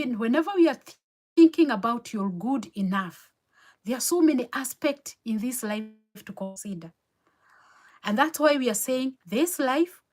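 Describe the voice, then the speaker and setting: A Kenyan woman, native Kikuyu speaker, hosting a motivational live stream, earnest and encouraging, repeating her key phrases. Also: high voice. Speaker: motivational livestream host. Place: home in New York City.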